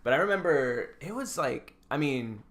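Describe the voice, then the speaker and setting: A man's voice: a little high, expressive, moderate pace, over a noisy crowd. Jokey young man co-hosting a podcast. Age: 20s. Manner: jokey, playful. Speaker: podcast co-host. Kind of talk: casual comedic chat.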